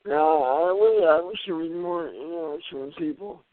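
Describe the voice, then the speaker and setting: Indistinct higher-pitched man on phone. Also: normal speed, no echo. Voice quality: indistinct, hard-to-understand speech. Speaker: radio show guest. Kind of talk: casual chat. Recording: muffled, tinny phone line.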